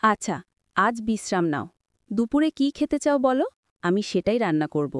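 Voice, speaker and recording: A woman acting a devoted, gentle but firm young village wife in a scripted cartoon drama, speaking tenderly and earnestly. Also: medium pitch, big pitch swings, moderate pace, heavy background noise, lightly acted. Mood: affectionate.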